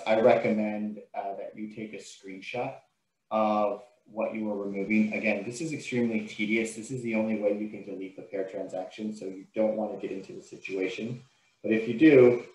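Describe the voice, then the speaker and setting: A man with a fairly deep voice gives a software tutorial. He explains each step in an informal, instructional way. The sound is roomy, with a clear echo around his voice. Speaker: software trainer.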